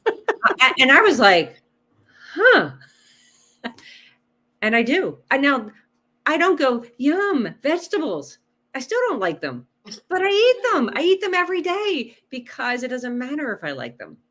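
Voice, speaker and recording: A woman's voice: sits high, measured pace, long pauses. A woman health coach, a guest on a podcast, talking casually and explaining nutrition with numbers, often checking in with "right?". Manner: animated, explains with numbers and rhetorical questions. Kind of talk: casual interview chat.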